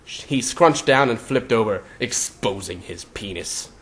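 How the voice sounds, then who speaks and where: A man's voice: lowish voice, lively intonation, unhurried, fairly bright tone. A man reading a scripted story as narrator, in a theatrical, mock-serious style. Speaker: narrator of a fan fiction reading.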